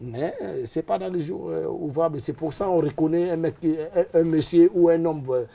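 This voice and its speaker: A man's voice: on the high side, steady tone, moderate pace, vocal fry, mellow. A man born in Liège, talking as a guest in a casual interview, rambling and hesitant with many fillers. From Liège, Belgium.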